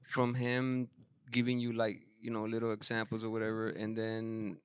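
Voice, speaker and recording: A man's voice: lowish voice, completely flat delivery, normal speed, clear, resonant tone, dry recording, clean studio sound. A man hosting a podcast chat, talking informally with slang, some swearing and effusive reactions to his guest. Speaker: podcast host. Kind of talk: casual chat interview.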